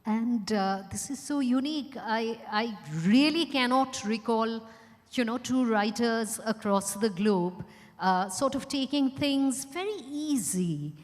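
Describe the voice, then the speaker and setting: Female moderator, fairly high voice, unscripted interview talk in a reverberant hall. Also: takes it slowly. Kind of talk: unscripted live on-stage discussion. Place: event hall at a hotel. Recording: echoey hall with long reverb.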